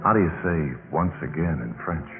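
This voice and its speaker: A man's voice: deep voice, lively intonation, brisk. An actor playing a romantic young male college senior in a 1950s radio drama, courting with hesitant, repeated words. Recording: tinny 1950s radio recording, music behind.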